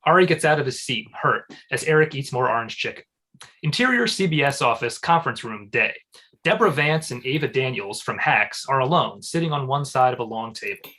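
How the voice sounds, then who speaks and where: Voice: medium pitch, lively intonation, a little fast, bright, forceful tone. A narrator reading scripted stage directions aloud at a live comedy table read.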